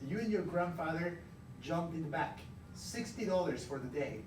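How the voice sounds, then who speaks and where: A man's voice: a little high, expressive, moderate pace, far from the mic, natural voice. Man voicing an easygoing boss in a noisy room. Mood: agreeable. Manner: casual, direct, instructing. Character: easygoing, brisk male employer hiring day labourers. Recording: roomy, noisy classroom, recorded from afar.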